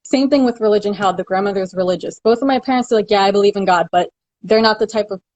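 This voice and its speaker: A woman's voice: mid-range voice, darkish tone, no room sound. Upbeat woman on a dry video call. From United States. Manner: upbeat and quick, with frequent "like" and "you know". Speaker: guest. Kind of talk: casual video call chat.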